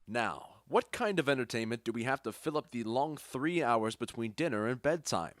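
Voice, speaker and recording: A man's voice: mid-range voice, lively intonation, normal speed, no room sound, natural voice. Man acting a confident, genial duke, dry studio sound. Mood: festive, eager. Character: confident, genial Athenian duke and bridegroom, skeptical of fancies. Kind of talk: audio drama acting of modernized Shakespeare.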